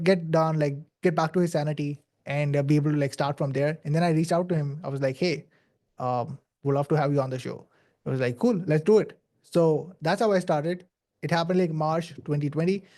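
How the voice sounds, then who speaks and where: Pakistani-accented young man with a mellow voice. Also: a little high. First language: not English. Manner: earnest, many "like" fillers and restarts. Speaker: podcast guest. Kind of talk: casual interview storytelling.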